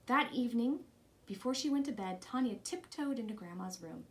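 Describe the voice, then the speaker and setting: Woman, fairly high voice, storybook reader, children's read-aloud narration. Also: lively intonation, moderate pace.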